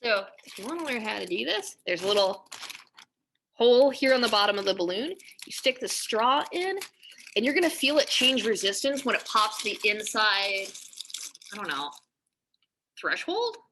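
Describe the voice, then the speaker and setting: A woman in her thirties, a physics teacher giving a recorded lesson, chatty and quick to laugh, filmed at a laptop camera in a classroom. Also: fairly high pitch, big pitch swings, background noise. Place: classroom with whiteboard.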